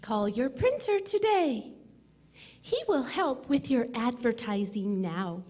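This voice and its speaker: An earnest 1940s sponsor announcer, acted by a woman. Her voice is high and swings widely in pitch through the scripted radio copy. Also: lively intonation, slow, a little mellow.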